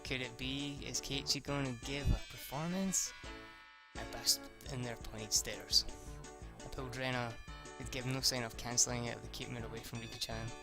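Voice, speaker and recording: A man's voice: mid-range voice, fairly even intonation, normal speed. A man reading a visual novel aloud as dramatic narration, an amateur who stumbles over words and restarts. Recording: music playing behind the voice.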